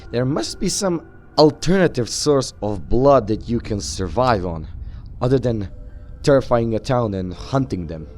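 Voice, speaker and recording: A man roleplaying his video game character's dialogue in a deliberate, understated way. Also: medium pitch, expressive, a little mellow, a little hiss or hum. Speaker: gamer roleplaying the player character.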